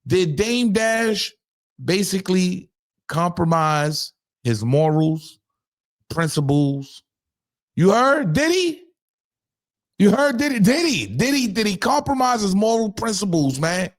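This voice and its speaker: A man's voice: high voice, big pitch swings, slow pace. A man from Harlem with a New York accent, hosting his own commentary channel and talking casually to the camera, emphatic and repeating rhetorical questions to his viewers.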